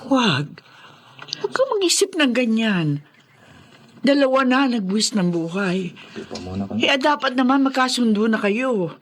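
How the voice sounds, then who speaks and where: A woman's voice: fairly high pitch, sing-song, unhurried, pauses often. A woman acting as a caring, admonishing figure who urges peace in a Filipino radio drama. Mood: solemn, reproving.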